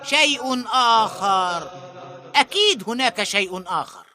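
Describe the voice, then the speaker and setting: Very high, piercing cartoon bungler. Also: male voice, animated, quick pace, bright, forceful tone, no echo, studio quality, full character voice. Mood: musing. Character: overconfident, eager male cartoon bungler and tinkerer. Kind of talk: animated cartoon voice acting.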